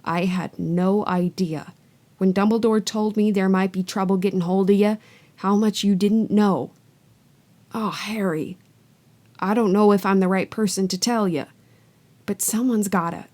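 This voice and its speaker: A huge, rough-mannered giant of a man who bursts out loudly when he is angry and drops low when he is anxious, as a woman puts him on while reading. He talks in a blunt, homely way. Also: fairly even intonation, a little slow, lightly acted. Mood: gruff. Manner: blustering, loud outbursts. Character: big rough-voiced half-giant man, gruff and warm.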